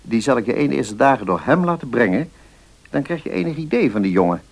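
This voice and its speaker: An actor playing a middle-aged notary in a Dutch radio play, measured and deliberate, kindly but firm. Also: male voice, mid-range voice, big pitch swings. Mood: earnest, persuasive.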